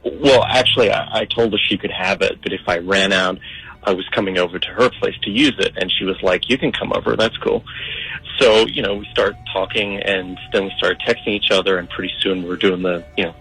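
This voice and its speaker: A man's voice: sits low, steady tone, fairly quick, vocal fry, pushed and bright, slightly rough, rough recording. A young man calling in to a radio show, telling his story in a hesitant, rambling way full of fillers. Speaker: caller.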